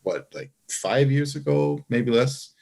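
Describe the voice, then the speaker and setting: A man's voice: mid-range voice, takes it slowly, gravelly, a little mellow. A man in casual podcast conversation, explaining things in a careful, qualified way. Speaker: podcast host.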